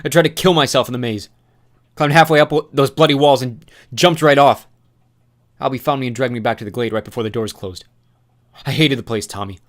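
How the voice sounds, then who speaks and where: A man's voice: medium pitch, quick pace, long pauses, close to an everyday voice. A man voicing a furious young man sliding into madness, ranting and screaming bitter accusations. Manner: screaming, ranting, spitting rage.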